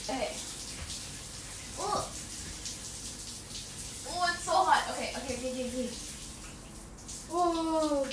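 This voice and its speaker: A young woman playing a playful, affectionate, teasing girlfriend in an intimate ASMR roleplay, coaxing in a girlish murmur. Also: sits high. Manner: giggly, coaxing, unhurried murmuring with pauses. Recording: echoey, distant, noisy with running bathwater.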